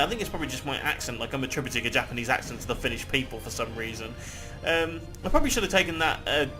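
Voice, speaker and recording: A man casually chatting and rambling while he plays a game, swearing freely and laughing often. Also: medium pitch. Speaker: gameplay video host. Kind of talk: casual gameplay commentary.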